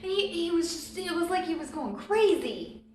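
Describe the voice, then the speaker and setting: A woman's voice: very high voice, very animated, normal speed, darkish tone, a little hiss or hum. A woman hosting a storytime, reading a children's book aloud expressively and explaining things simply for young listeners. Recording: very echoey, reverberant room.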